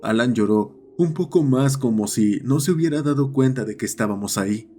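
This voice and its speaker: A man's voice: mid-range voice, expressive, moderate pace, dark tone, slight background noise. A man reading a scripted horror story as its narrator.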